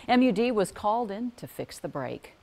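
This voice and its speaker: A woman's voice: medium pitch, very animated, normal speed, vocal fry, a little mellow. An American woman anchoring a local TV newscast, reading scripted stories. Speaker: news anchor.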